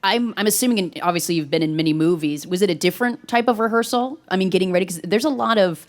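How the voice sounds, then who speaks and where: A woman's voice: mid-range voice, quick pace. A woman interviewing a guest before an audience, asking long, rambling, upbeat questions.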